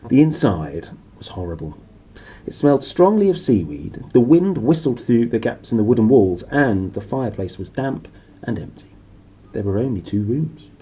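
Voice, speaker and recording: A man reading a children's novel aloud as an animated storyteller. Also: medium pitch, normal speed, breathy edge. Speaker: storybook reader.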